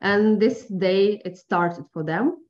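A Ukrainian woman journalist, a guest speaking over a phone line, choosing her words carefully with frequent pauses and fillers. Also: medium pitch, a little mellow. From eastern Ukraine. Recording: dull phone-line audio.

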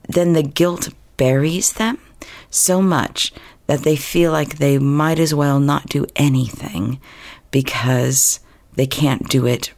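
A woman hosting a podcast while sick, her voice husky and deeper than usual, chatting warmly with laughs and restarts. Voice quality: husky low voice. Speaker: podcast host. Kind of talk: casual podcast talk.

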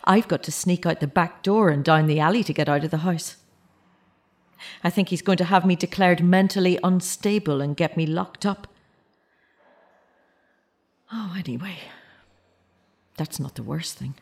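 An actress playing a guilt-racked, middle-aged grieving mother in an audio drama, anguished, halting and hard on herself. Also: lowish voice. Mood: agitated, bitter. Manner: anguished, self-accusing, halting.

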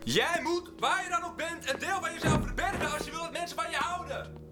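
A woman's voice: very high-pitched, a little fast, loud music behind the voice. An actress playing a manipulative lover in her mid-forties in an audio drama, sweetly coaxing and cutting. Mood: cruel, cutting. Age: middle-aged.

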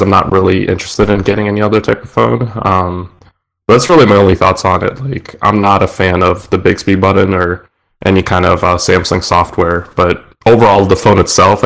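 A man's voice: low voice, vocal fry, low-quality recording. A man co-hosting a casual tech podcast, easygoing and hesitant, with lots of fillers. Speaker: podcast co-host.

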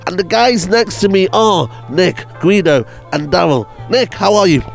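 Upbeat high-voiced male radio host. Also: normal speed, creaky, faint music behind. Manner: upbeat, enthusiastic, sing-song delivery. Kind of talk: lively radio show hosting.

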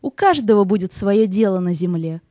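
A woman plays a gentle fairy-tale spirit of light. Her mid-pitched voice is soft and mellow, her phrasing tender and measured. The recording is dry. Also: animated, dry recording, close to an everyday voice. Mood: serene. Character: gentle, kindly female Soul of Light spirit. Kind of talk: children's audio play acting.

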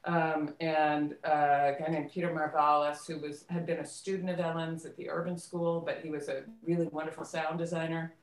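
A woman's voice: low voice, flat delivery, normal speed, hardly any pauses. A middle-aged American woman reminiscing casually as an interview guest, in a reflective, conversational way that searches for details. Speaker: interview guest, theater actress and director. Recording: roomy, echoey voice pickup.

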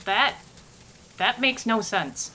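Woman as bright, crisp female radio anchor. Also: a little high, lively intonation, takes it slowly, a little hiss or hum, heavily acted. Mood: deadpan, newsreading. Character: brisk deadpan female 1930s radio news anchor. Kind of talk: scripted spoof radio newscast.

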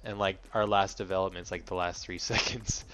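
A man's voice: low-pitched, fairly even intonation, a little fast, a bit bright. A man in his thirties from Hawaii, hosting a podcast in loose, laughing casual chat, swearing freely and rambling. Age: 30s. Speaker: podcast host.

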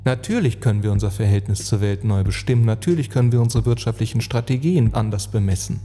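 A man narrating an earnest, reflective spoken essay, phrasing deliberately and emphatically with rhetorical weight. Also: on the low side, mellow. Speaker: speaker and author on climate and sustainability. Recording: long reverb, music underneath. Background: music.

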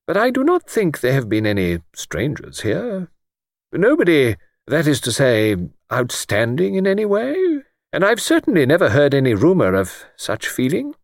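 A man voicing a mild, absent-minded, courteous old village vicar who speaks gently and hesitantly, repeating himself. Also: a little high, wildly swooping pitch, moderate pace, dry recording, studio quality, pitched above the performer's own voice. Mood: mild, regretful. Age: older.

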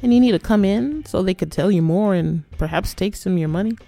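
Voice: mid-range voice, fairly quick, gravelly, warm tone. A podcast host narrating a scripted educational piece in a playful, conversational way.

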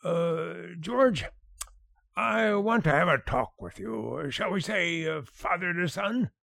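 An actor playing a blustering, fatherly older boss in a radio sitcom, gravelly and husky, stammering and repeating himself. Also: high voice, lively intonation, slow, a bit bright, no echo, clean studio sound, heavily acted. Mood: fumbling, fatherly.

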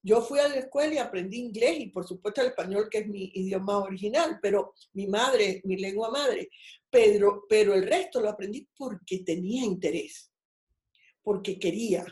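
A woman's voice: mid-range voice, moderate pace, darkish tone, echoey room. Cuban-born older woman telling her story. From Cuba. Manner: rambling, personal storytelling with many restarts. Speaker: guest. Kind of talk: casual interview over a call.

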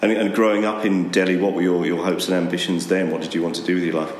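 A presenter with a very deep voice asks questions at a brisk pace, dropping in an affable "right" now and then. He sounds roomy, with faint ambience in the background. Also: flat delivery, a little hiss or hum. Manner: affable, with frequent "right" and "hmm". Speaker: interviewer and presenter. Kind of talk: broadcast interview.

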